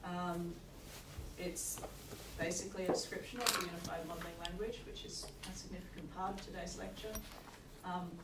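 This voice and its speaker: A woman lecturer giving a university lecture with a croaky voice, explaining at a measured pace with frequent checks like "okay". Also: fairly low pitch, level tone. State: croaky, recovering from lost voice. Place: lecture hall. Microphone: room recording from far off. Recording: echoey hall, distant mic, noisy.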